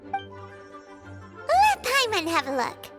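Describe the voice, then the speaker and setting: A woman voicing a tiny, childlike floating fairy companion in a video game, squeaky, cheeky and opinionated, exclaiming and explaining. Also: wildly swooping pitch, very slow, over loud music, heavily acted. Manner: speaks of self in third person, exclamatory, explanatory. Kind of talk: video game character voice acting.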